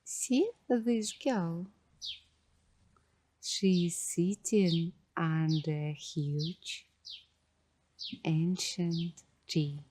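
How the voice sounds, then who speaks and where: A woman hosting a guided coloring ASMR session in a soft, hushed voice, speaking dreamily in short, halting phrases. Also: fairly low pitch, steady tone, noisy background. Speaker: ASMR host.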